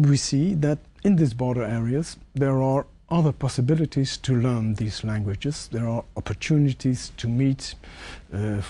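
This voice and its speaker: Mellow-voiced Dutch man asking questions. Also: mid-range voice. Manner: measured, with halting restarts. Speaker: interviewer. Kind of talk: formal interview question.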